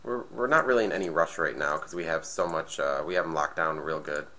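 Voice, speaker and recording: A man with a slightly raspy, nasal voice from being sick, casually commentating a card game match and thinking aloud as he plays. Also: a bass voice, brisk, sharp tone. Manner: thinking aloud, hesitant restarts. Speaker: gameplay commentator.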